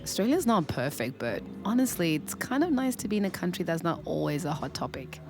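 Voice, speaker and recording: A woman's voice: lowish voice, very animated, fairly quick, over music. A woman in her twenties from Zimbabwe playing a candid, smitten young woman in an audio drama, confiding and playful. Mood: chatty, open. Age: 20s. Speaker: lead actor and first-person narrator. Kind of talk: audio drama narration and dialogue.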